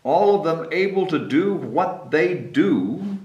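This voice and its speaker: A man's voice: on the high side, animated, slow, a little mellow, distant mic, highs cut off. A man in his sixties hosting a story time, reading picture books aloud to children in a small meeting room. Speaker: story time host and mayor. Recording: roomy sound with background noise.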